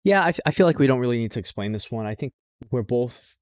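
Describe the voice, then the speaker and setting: A man with a mid-pitched voice, recorded close and dry. He co-hosts a casual podcast and talks with informal, slangy enthusiasm, swearing freely. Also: expressive, quick pace, darkish tone, clear, resonant tone, dry recording. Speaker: podcast co-host. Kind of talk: casual podcast chat about anime.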